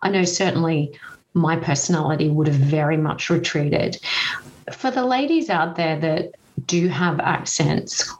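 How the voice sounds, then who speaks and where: A woman's voice: low-pitched, darkish tone, lo-fi sound. An Australian woman hosting a podcast interview, asking reflective questions and sharing her own view. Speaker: podcast host.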